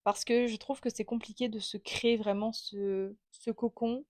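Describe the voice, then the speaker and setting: A young woman with an accent from France speaks in a fairly high voice, candid and reflective, pausing often to search for words. She talks into a small clip-on mic. The sound is dry and close, with no room echo. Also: fairly even intonation, darkish tone. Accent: French from France. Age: 20s. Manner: reflective, candid, many hesitations. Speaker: vlogger. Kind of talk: casual personal monologue.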